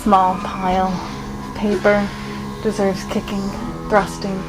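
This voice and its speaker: A woman narrating in a solemn, poetic, deadpan mock-documentary style. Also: mid-range voice, slow pace, poor audio. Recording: loud music behind the voice.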